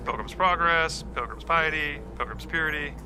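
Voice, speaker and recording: A man's voice: fairly high pitch, normal speed, noisy background, close to an everyday voice. A man playing a stuffy, formal agent who briefs in dry, precise language in an audio drama, with music behind.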